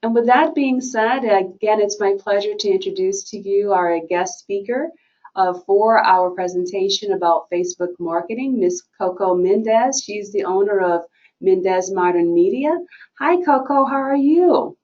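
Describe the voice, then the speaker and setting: A woman's voice: mid-range voice, normal speed, a little mellow. A woman hosting a webinar, politely introducing the guest speaker. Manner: polite, brief listener backchannels. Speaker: webinar host. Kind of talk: formal introduction. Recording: slightly dull webinar audio.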